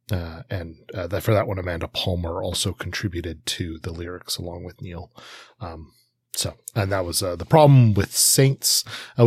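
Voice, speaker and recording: Husky, low-voiced man, halting delivery. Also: no echo, studio quality. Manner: hesitant, many pauses and fillers. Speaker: podcast co-host. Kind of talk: casual discussion.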